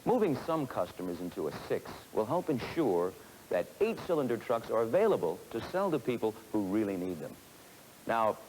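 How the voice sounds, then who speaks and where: A man giving scripted narration for a sales training film, in a measured, instructive manner, on a 1970s film soundtrack. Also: lowish voice, darkish tone, slight background noise, rough recording.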